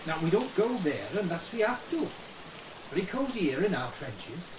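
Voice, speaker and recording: A man plays a down-to-earth private in a wartime radio play, chummy and reassuring. His pitch moves freely, and the sound is echoey and distant with heavy rain behind. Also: very animated, normal speed, noisy background. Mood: cheerful, instructive. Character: friendly, down-to-earth veteran British private guiding recruits. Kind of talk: period war radio drama acting.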